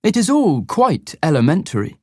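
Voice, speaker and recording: Guy playing a cocky smart detective, slow careful read, a bit high and very up and down. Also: wildly swooping pitch, mellow, husky, no room sound, clean studio sound, full character voice. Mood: self-assured. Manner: slow, measured, self-assured. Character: proud clever English male detective. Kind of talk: scripted reading for learners.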